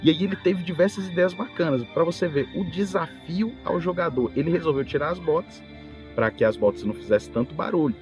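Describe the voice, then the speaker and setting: A man with a Brazilian accent talks casually as a podcast host, telling gaming anecdotes in an animated, storytelling way. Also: fairly high pitch, a little fast. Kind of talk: casual anecdotal talk about tabletop role-playing. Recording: music bed behind the voice. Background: background music.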